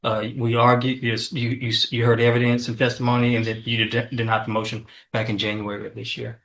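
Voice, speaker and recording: Even-toned male prosecutor, halting delivery. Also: mid-range voice. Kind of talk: courtroom questioning and argument. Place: courtroom.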